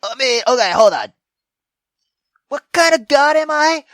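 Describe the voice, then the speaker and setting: A young man playing a visual novel on camera, reacting loudly and excitably with lots of swearing. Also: very high-pitched, unhurried, no room sound, clean studio sound. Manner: loud, excitable, heavy swearing. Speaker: gaming YouTuber. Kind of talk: let's-play commentary.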